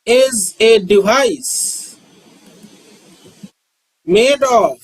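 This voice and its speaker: A man, lecturing on physics and repeating key terms with frequent pauses. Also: high voice, lively intonation, husky, rough recording. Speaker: physics teacher. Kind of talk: slow, repetitive classroom lecture.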